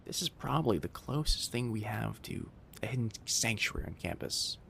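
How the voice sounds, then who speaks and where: A man playing a friendly, attentive young kitsune college student in an audio roleplay, speaking directly to the listener with soft teasing and hesitant pauses. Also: lowish voice, lively intonation, vocal fry, slight background noise, exaggerated voice. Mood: calm, musing. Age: young adult. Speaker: campus guide to a new student. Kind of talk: scripted boyfriend-style audio roleplay.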